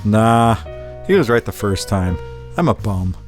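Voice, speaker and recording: A man's voice: lowish voice, very animated, measured pace, faint music behind. A man playing a roguish, easygoing bum with a wry, flirtatious manner in a noir-flavoured audio drama. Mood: wry, relaxed.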